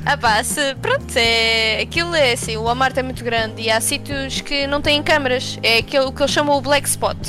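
A young woman with a high voice and a European Portuguese accent talks as a guest in a casual conversation. Her delivery is slangy and emphatic, with music clearly playing behind her. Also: a little fast, few pauses. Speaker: interview guest.

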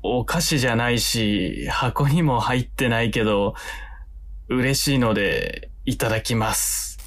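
A man playing a shy, kind young man with a halting, flustered and dry delivery in comic radio drama. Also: medium pitch, animated, fairly bright tone, slight background noise.

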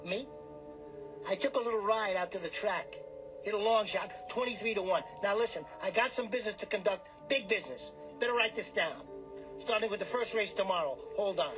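An actor playing a desperate, greedy small-time gambler, brash and pushy, in a radio drama. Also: male voice, sits high, fairly quick, over music, close to an everyday voice, low-quality recording. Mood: cocky, excited. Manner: brash, wheedling, slangy, bullying.

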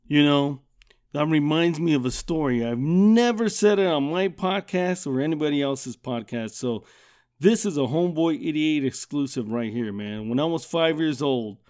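A middle-aged man hosts his podcast and tells a childhood story in a loud, swearing, lively voice. His voice sits a bit high for a man. He laughs often and keeps the telling casual. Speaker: podcast host.

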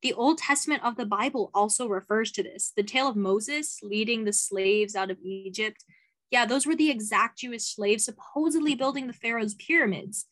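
A woman's voice: fairly high pitch, moderate pace, fairly bright tone. Friendly young woman podcast guest. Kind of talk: casual conversation.

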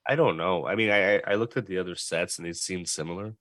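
A man's voice: low voice, quick pace, fairly bright tone, dry recording, clean studio sound. A man from Canada co-hosting a podcast, chatting casually in a laid-back, hesitant way. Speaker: podcast co-host. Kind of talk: casual chat.

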